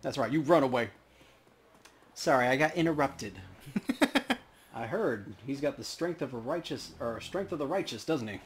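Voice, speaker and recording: A man's voice: medium pitch, expressive, normal speed, long pauses. A man co-hosting a let's play, casually commenting and reading game text aloud in a joking, irreverent way.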